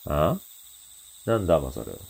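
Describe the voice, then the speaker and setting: Husky, swinging-pitched teenage delinquent. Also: male voice, big pitch swings, measured pace, full character voice. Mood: threatening. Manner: drawling thuggish slang. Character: boastful, short-tempered teenage male delinquent. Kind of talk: Japanese radio drama acting.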